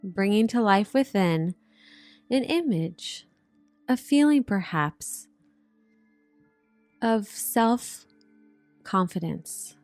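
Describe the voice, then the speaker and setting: A woman narrating a guided meditation in a soothing, gentle manner, with drawn-out hypnotic phrasing. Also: medium pitch, no echo. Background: relaxation music.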